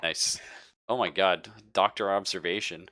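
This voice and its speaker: A man's voice: low voice, unhurried, bright, forceful tone. A man narrating a tabletop roleplaying game as game master, casual and joking as he improvises.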